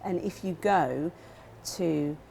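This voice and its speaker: A middle-aged British woman with a low, gravelly voice talks about film in an easy, chatty way, scattering "you know" through her answers. Her tone is dark and mellow, with a faint hum of room noise behind her. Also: slow pace. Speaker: film lecturer guest. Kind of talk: studio interview answers.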